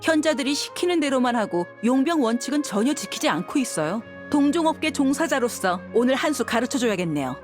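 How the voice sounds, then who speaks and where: A confident female mercenary, polite and composed in a high voice with lively pitch movement. It is game dialogue with music underneath. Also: brisk, few pauses. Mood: confident, sly.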